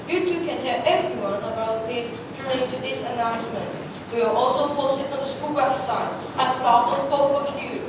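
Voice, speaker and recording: A woman's voice: high-pitched, normal speed, lightly acted. A woman playing an approving school principal in a readers' theatre piece, reading from a script. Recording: very echoey room, distant mic, noisy.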